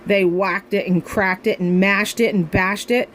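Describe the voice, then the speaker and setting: A woman reads a children's storybook aloud in an expressive way, as a grandmother would for young listeners. Her pitch is medium, and there is some noise in the recording. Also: unhurried. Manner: expressive, acting out the dialogue. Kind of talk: reading a children's picture book aloud with asides.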